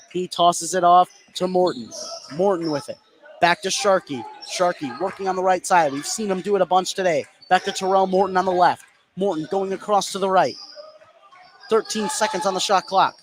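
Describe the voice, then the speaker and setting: A man doing live play-by-play basketball commentary on the radio, narrating in short clipped phrases. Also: high-pitched. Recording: noisy gym ambience behind. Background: ambient noise of a basketball game.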